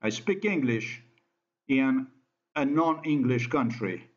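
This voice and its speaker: A man with a Slovenian accent talks over a screen recording in English, his second language. He speaks slowly, halting and restarting often with plenty of fillers. The sound is dull and lo-fi. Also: mid-range voice, lively intonation.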